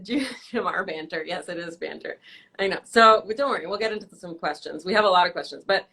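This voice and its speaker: A young woman hosts a casual live-stream interview with a bright, ringing voice. She teases her guest playfully and keeps breaking into laughter. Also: lowish voice, brisk, breathy edge. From Canada. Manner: teasing, laughs often. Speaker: host.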